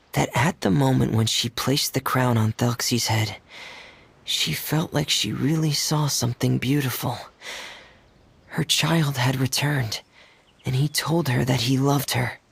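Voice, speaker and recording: A man playing a gentle, earnest teenage boy in a video game, soft-spoken and measured. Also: mid-range voice, creaky, breathy edge, heavily acted. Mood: reflective.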